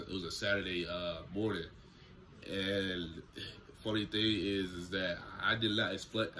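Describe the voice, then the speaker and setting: Low, bright-voiced young man vlogging. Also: slight background noise. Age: young adult. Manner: relaxed, slangy storytelling to camera. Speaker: YouTuber. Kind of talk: casual story time vlog. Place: small indoor room at home. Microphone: camera close in front, seated.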